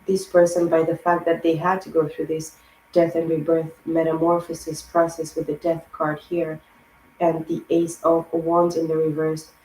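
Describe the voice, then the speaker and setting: A woman giving a rambling spiritual tarot reading, hoarse and losing her voice, piling up words in long lists. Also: deep voice, monotone, moderate pace, darkish tone, roomy sound, a little hiss or hum, rough recording. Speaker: tarot reader.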